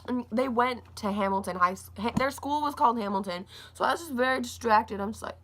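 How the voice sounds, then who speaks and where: A young woman reviewing a book in a casual, rambling vlog, her throat a little scratchy and gravelly, with lots of fillers and restarts. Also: on the high side, brisk, fairly bright tone. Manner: rambling, says like a lot, restarts often.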